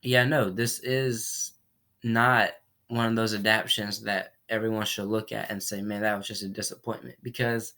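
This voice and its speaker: A man giving a casual spoken movie review while recovering from a cold, rambling and often clearing his throat. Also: fairly low pitch, level tone, normal speed, bright, forceful tone. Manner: rambling, repeats himself, frequent throat clearing.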